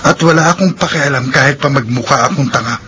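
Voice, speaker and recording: An actor playing a devoted, resolute young suitor in a Filipino radio drama, tender and earnest. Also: male voice, medium pitch, fast, bright, forceful tone, slight background noise, close to an everyday voice.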